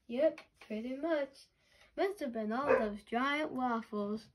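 Woman doing a high, gleeful giant narwhal. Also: slow, heavy background noise. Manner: gleeful, easygoing. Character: jubilant enormous narwhal, big eater. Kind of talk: picture-book read-aloud character.

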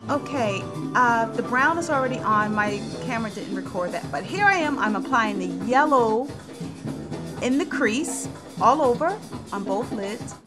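A woman's voice: fairly high pitch, animated, unhurried. A woman in her thirties giving a casual, chatty, informal makeup tutorial as a beauty vlogger. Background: radio music playing in the background.

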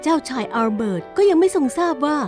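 Woman narrator, grand and theatrical, very high voice, music underneath. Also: big pitch swings, fast, a little mellow. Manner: grand, theatrical announcer delivery. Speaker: narrator of a drama within the cartoon. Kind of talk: dramatic scripted narration.

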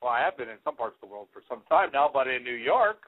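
A man's voice: mid-range voice, lively intonation, fast. A man in his forties hosting a radio show, casually rambling through announcements with frequent hesitations on a headset mic. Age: 40s. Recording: phone-like, band-limited internet radio sound.

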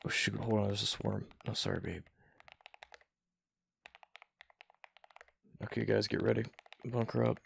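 A man playing a young gamer boyfriend in an ASMR roleplay, reluctant but affectionate, with halting, slangy, casual talk. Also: fairly even intonation, a little hiss or hum. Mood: busy, bantering. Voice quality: deep, gravelly, breathy murmur at times. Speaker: voice actor in boyfriend roleplay audio.

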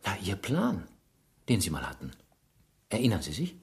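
Low-voiced, insistent police inspector. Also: male voice, wildly swooping pitch, moderate pace, vocal fry, heavily acted. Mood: insinuating. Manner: probing, pointed, pressing questions. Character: shrewd, insistent male police inspector interrogating a suspect. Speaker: actor in a radio play. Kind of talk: German radio crime drama acting.

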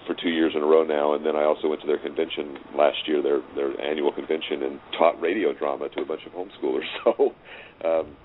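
A middle-aged man speaking as an interview guest, reflecting casually in a halting, thoughtful way. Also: extremely low pitch, steady tone. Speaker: interview guest, radio drama writer. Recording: noisy, muffled phone-like line.

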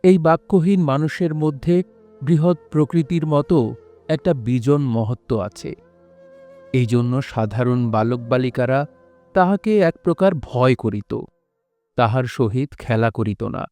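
Guy narrating a literary story, measured and poetic, medium pitch, light music behind. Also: lively intonation, mellow. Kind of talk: literary scripted narration in an audio drama.

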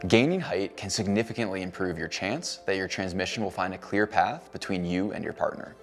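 Low-voiced man explaining radio range. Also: faint music behind. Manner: clear, measured explainer. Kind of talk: scripted educational narration. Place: indoor cabin-style studio.

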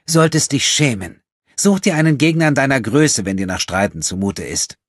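A gentle peacemaking woman in an audiobook, acted by a man. The pitch sits above his natural voice, with a soft husky tone and quiet firmness. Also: expressive, normal speed, no echo, clean studio sound. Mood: firm, chiding. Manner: soft but firm, conciliatory. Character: gentle yet firm, conciliatory woman. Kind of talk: audiobook character acting.

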